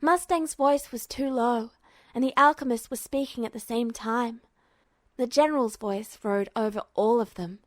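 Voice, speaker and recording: Woman reading fan fiction aloud, highish voice, dry no-echo sound. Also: normal speed. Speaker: podfic narrator. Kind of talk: scripted fiction narration.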